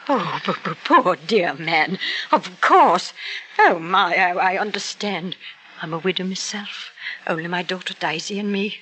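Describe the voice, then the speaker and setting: A bright-voiced, gushing landlady, a widow with prim, refined airs and a fussy manner. Her lowish voice rings with a sharp edge in an old radio play. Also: lively intonation, measured pace, slight background noise. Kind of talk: 1940s radio acting.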